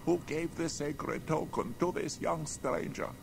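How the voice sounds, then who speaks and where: A dignified Inca noble in a radio play. He speaks formally in a slow, gravelly voice, with clear noise behind him. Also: fairly high pitch. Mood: solemn, respectful. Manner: formal, deliberate. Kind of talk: radio drama acting. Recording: noisy radio drama soundscape.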